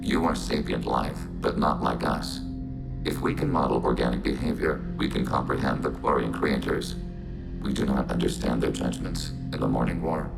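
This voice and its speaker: An actor voicing a calm, logical machine intelligence in a video game, with synthetic processing and a flat, clipped, literal delivery. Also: male voice, a bass voice, normal speed, creaky, over loud music, full character voice. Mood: detached, analytical. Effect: synthetic robotic voice processing.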